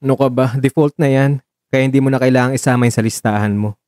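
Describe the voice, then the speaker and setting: A man's voice: medium pitch, fairly even intonation, fast, darkish tone, no echo, studio quality, lightly acted. A man with a Filipino accent acting a devoted, protective young man in a radio drama, reassuring his lover with affectionate mock scolding.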